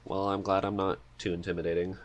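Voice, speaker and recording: Low-voiced male podcast host. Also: level tone, quick pace. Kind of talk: casual interview chat.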